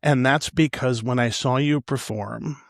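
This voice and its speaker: A man's voice: mid-range voice, measured pace, gravelly, no echo, studio quality. A man hosting a podcast, talking in a casual, earnest and affirming way with his guest. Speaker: podcast host. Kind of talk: casual conversational interviewing.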